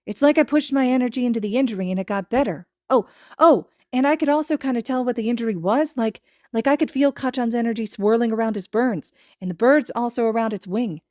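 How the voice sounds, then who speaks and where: Woman acting as kind excitable young boy, raised pitch, stammering, gushing, audiobook character, dry recording. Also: a little fast, few pauses, no room sound, close to an everyday voice. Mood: flustered, eager. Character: excitable, timid, kind-hearted little boy. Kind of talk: fan fiction audiobook acting.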